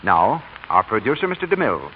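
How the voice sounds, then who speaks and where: A man's voice: fairly low pitch, wildly swooping pitch, a little fast. A man reading a scripted 1940s radio commercial as the announcer. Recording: muffled old radio, faint noise.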